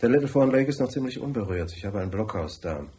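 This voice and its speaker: Man playing a deep-voiced, brusque businessman. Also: expressive, quick pace, warm tone, natural voice. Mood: terse, confiding. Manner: curt, formal, bossy. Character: brusque, tight-fisted, wealthy businessman client. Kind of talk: radio drama acting.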